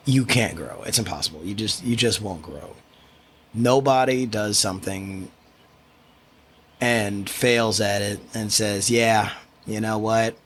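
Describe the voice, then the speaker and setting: Casting: soft-spoken man, low voice, reflective solo podcaster, faint hiss behind. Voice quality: quiet voice. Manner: reflective, rambling with restarts. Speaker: podcast host. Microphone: studio microphone.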